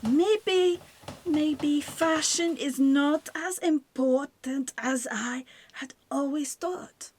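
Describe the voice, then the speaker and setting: A woman playing a high-voiced, haughty French fashion lady. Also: extremely slow, a little mellow. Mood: wondering, humbled. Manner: theatrical, haughty, dramatic. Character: snobbish but softening French fashion designer, woman, wartime diarist. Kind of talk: dramatic monologue in a radio play.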